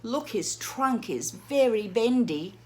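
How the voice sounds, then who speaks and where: A woman teacher reading a picture book aloud to children, gently pausing to ask the listener questions. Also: a little high, lively intonation. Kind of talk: children's read-aloud lesson.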